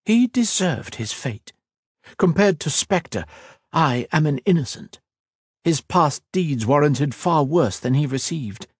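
A man voicing a shapeshifting creature that has taken the form of an elf woman, speaking in a soft, measured, coaxing way. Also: on the high side, very animated, husky, no room sound, voice pushed up, exaggerated voice. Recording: dry close studio read.

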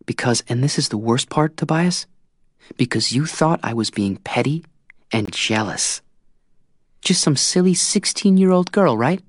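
A man voicing a stubborn sixteen-year-old girl, hurt and defiant, in a raised pitch. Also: expressive, measured pace, dry recording. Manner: raised pitch, tearful and pleading.

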